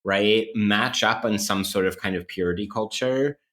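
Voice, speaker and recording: A man's voice: on the low side, normal speed, fairly bright tone. A man co-hosting a podcast, talking through ideas in an analytical, academic and conversational way with many qualifications. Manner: academic, hedging phrasing. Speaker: podcast co-host.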